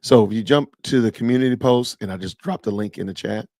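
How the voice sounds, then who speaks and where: A man in his fifties with a low, gravelly voice talks straight to his live stream audience. He answers comments as he reads them and keeps things loose and conversational. The sound is dry and close. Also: fast talker, warm tone, dry recording. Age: 50s. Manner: chatty, reads and answers live chat aloud. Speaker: podcast host. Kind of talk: casual live stream chat with viewers.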